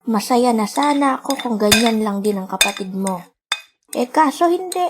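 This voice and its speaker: A woman's voice: on the high side, lively intonation, moderate pace, very noisy, close to an everyday voice. A woman voicing a kind, loving old grandmother in a radio drama, sighing and worried. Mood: worried, weary. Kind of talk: dramatic radio play acting. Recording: music behind the voice.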